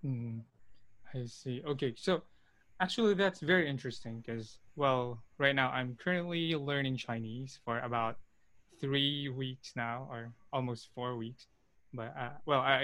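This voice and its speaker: Man, casual chatty talk, fillers, mid pitch, dry sound. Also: dry recording. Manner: relaxed, chatty, frequent fillers. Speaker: conversation partner asking questions. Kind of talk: casual conversation.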